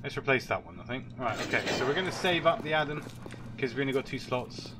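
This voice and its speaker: A man hosts a casual gaming video, sounding congested from a cold. His medium-pitched commentary rambles and hesitates, with the odd swear word. Game ambience sits noisily behind him. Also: expressive, quick pace. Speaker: gaming channel host. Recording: noisy track with game ambience.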